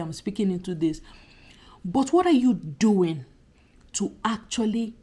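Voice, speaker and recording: A woman in her thirties talks to her subscribers in a casual, heartfelt vlog. Her voice sits a little low and sounds slightly husky and croaky, as if she is just getting over a cold. She leans on emphatic repetition and keeps the tone earnest and chatty. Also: dark tone. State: recovering from a cold. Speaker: YouTuber talking to subscribers. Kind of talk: casual reflective vlog monologue.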